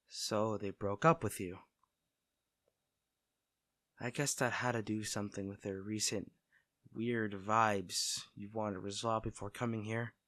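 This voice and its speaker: A man playing a caring, teasing, protective best friend in a soft-spoken, conversational roleplay audio drama. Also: on the low side, dry recording, studio quality. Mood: gentle concern. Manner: fussing, tender, conversational.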